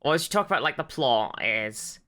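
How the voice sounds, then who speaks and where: A podcast co-host giving a casual, rambling retelling in an animated, informal way, with some swearing. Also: medium pitch, a little fast. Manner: animated retelling, acts out quotes.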